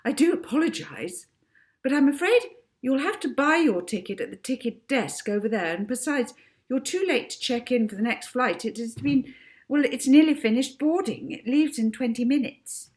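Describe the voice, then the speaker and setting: Storybook airline clerk, female acted by a woman: officious, apologetic, fussy, raised pitch. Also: expressive, normal speed, a little mellow. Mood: flustered, apologetic.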